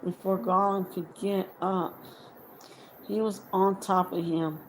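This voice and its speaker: A woman reading fiction aloud as a dramatic audiobook narrator, deliberately and in short phrases. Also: mid-range voice, level tone, slight background noise, rough recording. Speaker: audiobook reader.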